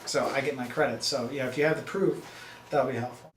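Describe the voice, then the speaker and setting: A man's voice: medium pitch, fairly even intonation, a little fast, echoey room, a little hiss or hum, poor audio. A man in his thirties, a marketing professor and travel video host, talking casually to camera in a rambling, chatty way. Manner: rambling, chatty, full of fillers and restarts.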